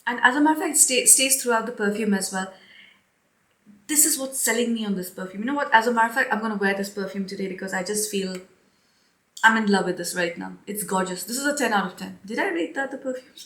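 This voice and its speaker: A young woman chatting casually to her viewers as a perfume reviewer, rambling and laughing often, her voice slightly hoarse with a stuffy nose. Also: mid-range voice, quick pace, a bit bright. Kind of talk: casual chat to camera. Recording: echoey room.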